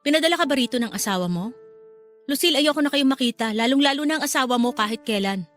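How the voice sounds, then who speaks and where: A woman's voice: sits high, animated, fast, fairly bright tone. A Filipino woman playing a cold, unforgiving older sister and grieving mother who speaks reproachfully in a radio drama. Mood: cold, curt. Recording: music under the dialogue.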